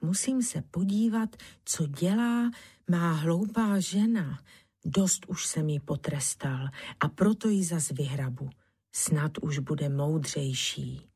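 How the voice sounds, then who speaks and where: A woman's voice: sits low, darkish tone, slightly rough, heavily acted. A woman voicing a sly, scheming peasant husband in a storybook tale. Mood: smug. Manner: scheming, reassuring. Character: sly peasant husband, trickster fooling his wife.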